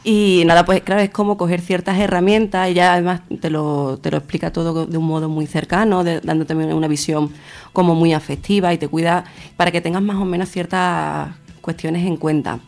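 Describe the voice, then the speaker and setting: A young woman with a gravelly, creaky voice gives interview answers. Her pitch moves very little, and she builds her thoughts hesitantly as she goes. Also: steady tone, a little fast, darkish tone. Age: young adult. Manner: hesitant phrasing, searching for words. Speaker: interview guest, feminist activist.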